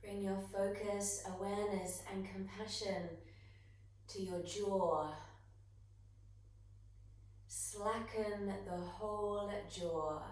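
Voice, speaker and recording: A woman guiding breathwork and meditation as a yoga teacher, gentle and soothing, with long unhurried pauses. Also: medium pitch, steady tone. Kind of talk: guided meditation instruction. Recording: echoey room, distant microphone.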